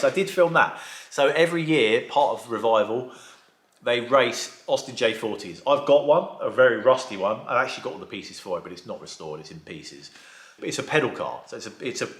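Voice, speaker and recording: A man who restores classic cars, chatting casually in a vlog, with a croaky voice from a sore throat. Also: medium pitch, fairly bright tone, roomy sound. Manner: chatty, rambling, enthusiastic. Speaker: vlogger and car restorer.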